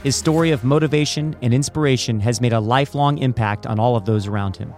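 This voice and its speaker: A man's voice: mid-range voice, quick pace, a little mellow, faint music behind. A middle-aged man hosting a podcast, moving between a scripted introduction and casual interview talk. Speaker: podcast host.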